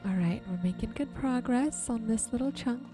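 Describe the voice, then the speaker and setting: A woman doing casual, soft-spoken ASMR commentary while playing a game, murmuring to viewers in an unhurried way. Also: on the high side. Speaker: video creator and host. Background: music.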